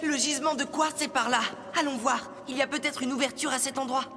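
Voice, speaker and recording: Woman voicing a brave high-voiced young boy. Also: fairly even intonation, quick pace, noisy background, lightly acted. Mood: calm, concerned. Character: brave, level-headed young Sioux boy hero. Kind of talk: French animated series dubbing. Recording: music behind the voice.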